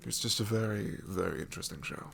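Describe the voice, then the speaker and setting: A man voicing a sassy male shadow demon in an ASMR roleplay, snarky and rambling with soft whispered asides. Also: lowish voice, quick pace, gravelly, slight background noise.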